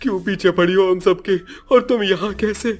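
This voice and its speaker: A man's voice: sits high, normal speed, a little mellow, faint music behind, voice pushed up, lightly acted. A man voicing an alarmed train passenger in a cartoon, with strained, pleading questions. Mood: panicked. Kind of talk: cartoon voice acting.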